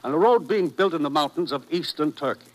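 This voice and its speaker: Man acting animated, authoritative American commissioner. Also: fairly high pitch, brisk, lightly acted, low-quality recording. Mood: grave. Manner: earnest, authoritative, emphatic. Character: authoritative male government commissioner, earnest and brisk. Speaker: radio drama actor. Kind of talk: 1950s radio acting, mission briefing.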